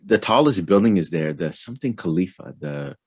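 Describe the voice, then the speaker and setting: A man with a very low voice hosts a casual interview, asking friendly questions in a relaxed way and often pausing on "um". The sound is dry and close, with no room around it. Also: breathy edge, no room sound. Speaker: interview show host.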